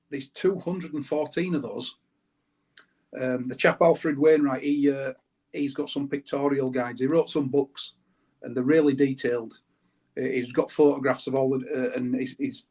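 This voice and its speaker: A man's voice: a little high, a little mellow, breathy edge. A British man, a guest on a show, explaining things in casual conversation. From United Kingdom.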